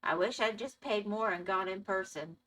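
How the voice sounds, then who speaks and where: A woman's voice: mid-range voice, normal speed, fairly bright tone, rough recording. A woman streaming a game and chatting casually with her viewers while losing her voice. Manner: chatty, reads and answers chat. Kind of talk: casual live-stream chat.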